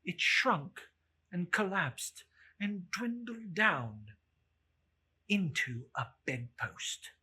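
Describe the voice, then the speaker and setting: A woman narrates a story in a theatrical, solemn manner. Her voice is on the low side and husky, with a bright, forceful edge. She phrases everything deliberately. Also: big pitch swings.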